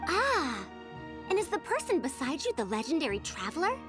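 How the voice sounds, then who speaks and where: Proud, lively, high-voiced lady scholar. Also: brisk, music in the background. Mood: pleased, curious. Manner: haughty, formal, old-fashioned phrasing. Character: proud, fussy scholarly woman with old-fashioned elder airs. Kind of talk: video game character voice acting.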